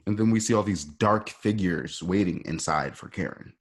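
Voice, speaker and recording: Low-voiced male radio play narrator. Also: moderate pace, slightly rough. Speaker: narrator reading stage directions.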